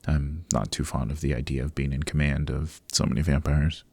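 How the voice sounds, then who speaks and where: A very low, husky voice belongs to a proud, sociable old vampire gentleman, acted by a man. He chats with genteel, old-fashioned courtesy in an ASMR roleplay. The sound is close and dry, with a gravelly edge. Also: steady tone, brisk, no room sound, heavily acted. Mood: amused, chatty. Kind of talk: ASMR roleplay, interview monologue. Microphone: close microphone, dry.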